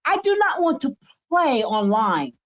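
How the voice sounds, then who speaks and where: Woman in her seventies, high voice, fiery and emphatic, preaching cadence, dull lo-fi sound. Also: sing-song, unhurried. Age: 70s. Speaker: co-host. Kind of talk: impassioned spiritual podcast talk.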